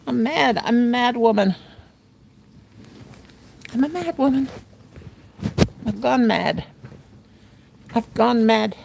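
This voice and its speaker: A woman's voice: fairly high pitch, slow pace, vocal fry, long pauses, background noise. An older American woman hosting a casual crochet livestream, playful and silly, laughing and talking to herself as she works. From United States. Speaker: livestream host.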